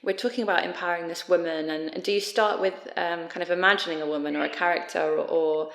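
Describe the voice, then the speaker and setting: A woman's voice: on the low side, fairly even intonation, fast, creaky, a bit bright. A woman interviewing someone conversationally, recorded in a reverberant room. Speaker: interviewer, fashion editor. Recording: roomy, reverberant sound.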